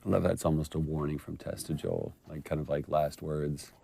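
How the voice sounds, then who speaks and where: A man with a remarkably deep voice full of gravelly fry. He chats casually and off the cuff as an actor on a commentary track. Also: level tone, brisk, warm tone. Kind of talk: unscripted commentary, casual chat.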